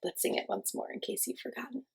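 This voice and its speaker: Husky woman leading a children's class. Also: a little high, fairly even intonation, darkish tone. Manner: simple instructional phrasing with questions and pauses for answers; encouraging, thanking the children. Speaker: children's class teacher and host. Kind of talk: children's lesson with guided movement.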